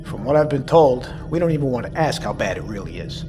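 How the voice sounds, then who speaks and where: A grave, weary submarine commander, played by a male actor speaks over clear music. His voice is mid-pitched, measured and blunt, with military bearing in an audio drama. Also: sing-song, natural voice.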